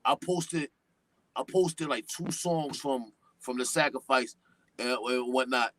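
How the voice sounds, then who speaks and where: A man, a guest hip-hop artist chatting on a podcast, animated, full of street slang and swearing, and talking at length. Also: takes it slowly, slight background noise, low-quality recording. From New York. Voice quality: deep voice. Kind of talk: casual podcast chat.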